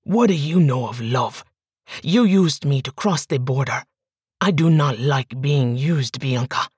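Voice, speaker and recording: A woman's voice: lively intonation, a little slow, husky, no room sound, studio quality, heavily acted. Woman playing a cold, menacing jealous man. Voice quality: low, quiet, sometimes whispered.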